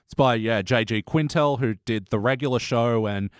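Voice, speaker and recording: A man's voice: medium pitch, normal speed, clear, resonant tone, dry recording, studio quality. A man hosting a podcast in casual chat, talking informally and enthusiastically with plenty of swearing. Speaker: podcast host.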